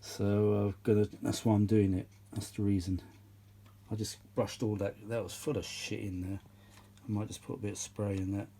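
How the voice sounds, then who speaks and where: A man's voice: lowish voice, flat delivery, moderate pace, gravelly, dark tone, a little hiss or hum. A British man giving casual, unscripted repair commentary in a rambling, grumbling, blunt way. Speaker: host of vintage audio repair channel.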